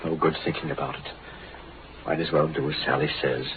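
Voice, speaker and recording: Deep-voiced, troubled older father. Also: flat delivery, a little fast. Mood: weary, defeated. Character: weary, troubled older father, embattled streetcar company owner. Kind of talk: 1930s-40s radio drama acting. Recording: noisy, narrow-band old radio recording.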